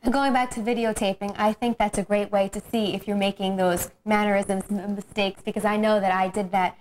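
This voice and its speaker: A woman who has competed in pageants, sharing tips in a brisk, friendly way. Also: mid-range voice, little pitch movement, darkish tone, a little hiss or hum. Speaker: former pageant contestant. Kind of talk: instructional video presentation.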